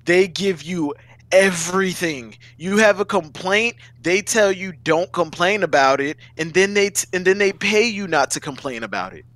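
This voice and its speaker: Higher-voiced young man, dry close mic. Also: animated, normal speed, fairly bright tone, no room sound. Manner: emphatic, repeats himself. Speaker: guest in a stream voice chat. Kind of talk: casual chat.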